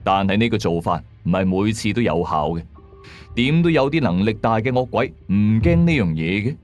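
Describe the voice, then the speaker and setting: Male narrator. Low register, very lively intonation, measured horror storytelling with chatty asides. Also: highs cut off. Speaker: audio drama narrator. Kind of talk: scripted first-person horror story narration. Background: background music.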